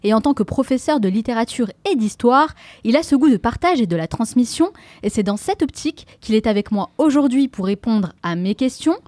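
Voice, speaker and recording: A woman's voice, a touch higher than most, hosts a podcast with upbeat, motivational drive. Her tone is mellow rather than bright. The sound is dry and close, with no room around it. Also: expressive, a little fast, hardly any pauses, no echo. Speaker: podcast host. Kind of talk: scripted podcast intro, then interview.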